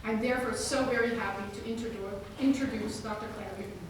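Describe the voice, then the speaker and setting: A woman's voice: fairly high pitch, fairly even intonation, quick pace, very noisy. Woman, echoey far-off hall. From Netherlands. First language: Dutch. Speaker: curator introducing a speaker. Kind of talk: formal introduction at a lecture. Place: museum lecture hall.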